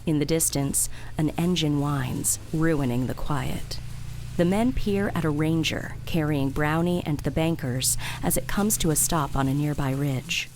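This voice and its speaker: A woman's voice: low voice, measured pace, darkish tone. A woman narrator reading scripted, present-tense narration for an audio drama. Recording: background sound-effect noise.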